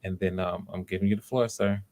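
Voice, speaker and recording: A man's voice: deep voice, steady tone, a little fast, darkish tone. A man hosting a live audition stream, talking casually in a rambling, conversational way. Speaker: audition host.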